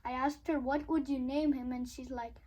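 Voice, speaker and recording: A woman's voice: sits high, steady tone, moderate pace, dark tone. A young girl reading a children's picture book aloud expressively, acting out the sound effects with emphasis. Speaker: storybook reader.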